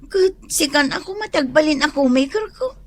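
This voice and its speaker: A woman's voice: high voice, animated, moderate pace. A woman playing a pushy young coworker who insists on getting a loan, in a radio drama. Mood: insistent. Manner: pleading, insistent.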